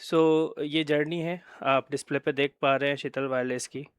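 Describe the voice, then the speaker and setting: A man's voice: fairly high pitch, steady tone, normal speed, smooth, dry recording, clean studio sound. An Indian man giving an informal explanatory product presentation, hesitant, mixing in English phrases and often checking in with short tag words. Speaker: company presenter of wireless communication products.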